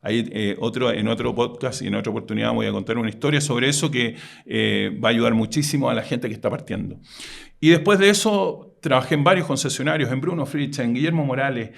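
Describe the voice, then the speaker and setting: A middle-aged man, recounting his career in steady detail as a podcast co-host. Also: mid-range voice, fast talker, gravelly. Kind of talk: casual podcast chat.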